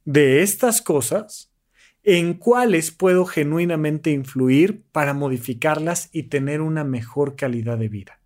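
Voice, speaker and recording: A man's voice: on the high side, darkish tone. Earnest Mexican coaching man. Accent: Mexican Spanish. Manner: earnest, emphatic, mimics the people he critiques. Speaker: life coach. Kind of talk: motivational talk.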